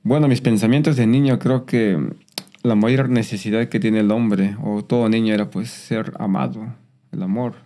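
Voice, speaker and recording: A soft-spoken man shares his personal testimony. His voice is lowish and mellow, and he speaks reflectively, sometimes pausing and restarting a phrase. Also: fairly even intonation. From Peru. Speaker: guest.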